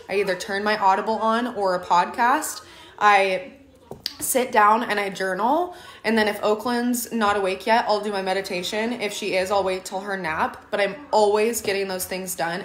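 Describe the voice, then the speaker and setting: A young woman with a hoarse, raspy voice from a lingering cough, chatting earnestly in a casual livestream and giving advice from her home kitchen into a phone at arm's length. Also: mid-range voice. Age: young adult. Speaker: livestreaming mom and team leader. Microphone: phone camera at arm's length. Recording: echoey kitchen room sound.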